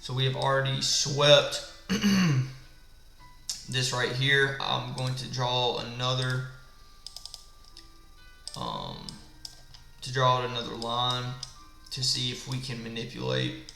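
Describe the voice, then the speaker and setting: A man in an echoey room with a gravelly, smoker-like voice. He sounds tired late at night and walks through his trading setup, asking and answering his own questions. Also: mid-range voice, animated, takes it slowly, long pauses, bright, forceful tone. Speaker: trading instructor. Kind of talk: live screen-share trading walkthrough. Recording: echoey room with faint background music.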